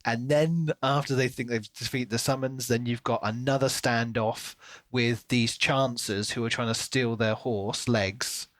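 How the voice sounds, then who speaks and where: A man co-hosting a podcast, talking through a book in a casual, animated way. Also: medium pitch, unhurried, dry recording. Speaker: podcast co-host.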